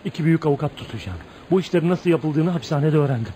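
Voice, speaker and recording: Radio play lead: bitter, brooding outcast in his thirties male actor, emotional and dramatic, higher register, light background noise. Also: racing, mellow, lightly acted. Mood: defiant, vengeful. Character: tormented, bitter 35-year-old outcast man, brooding and volatile. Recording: noisy radio drama recording.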